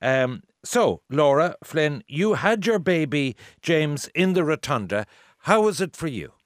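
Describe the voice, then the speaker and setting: Lively radio host. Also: male voice, fairly high pitch, a little slow, no echo, studio quality. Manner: probing, interjecting questions. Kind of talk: radio interview.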